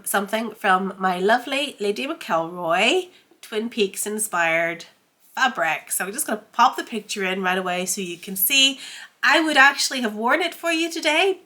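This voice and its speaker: A woman's voice: medium pitch, pushed and bright. A woman with a congested, head-cold voice, chatting casually as the host of a sewing vlog while feeling under the weather. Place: home sewing room.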